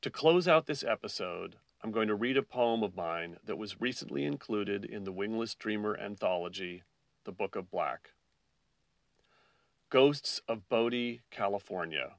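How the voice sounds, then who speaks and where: A man's voice: on the low side, lively intonation, measured pace, creaky, no room sound, far from the mic. A man hosting a poetry podcast, reading verse aloud, recorded a little away from the mic. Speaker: podcast host and poet.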